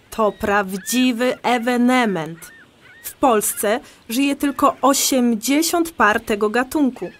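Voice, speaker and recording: An actress playing a patient, enthusiastic amateur birdwatcher who explains things in a conversational audio drama. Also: female voice, sits high, heavily acted.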